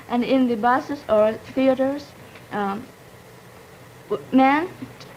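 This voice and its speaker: A young woman from Japan, Japanese her first language, speaks English in a fairly high voice. She talks carefully, pausing to find her words. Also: a little hiss or hum, rough recording. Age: young adult. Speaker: student debater.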